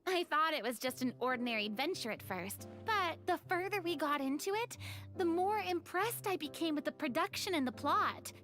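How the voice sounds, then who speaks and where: A woman's voice: high voice, expressive, normal speed, fairly bright tone. An actress voicing a chirpy, theatrical young woman in game dialogue. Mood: chatty. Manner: chirpy, dramatic, says quaint farewells. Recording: game audio with music behind.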